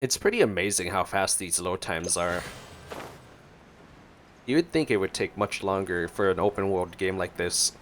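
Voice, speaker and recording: A man's voice: deep voice, moderate pace, a bit bright, a little hiss or hum. A man giving casual reaction commentary as a gameplay streamer.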